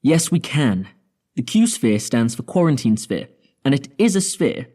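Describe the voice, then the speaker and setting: Mellow, measured spaceship scientist. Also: male voice, medium pitch, big pitch swings, breathy edge. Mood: calm, resolute. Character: measured, confident male spaceship scientist proposing drastic plans. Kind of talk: audio drama acting.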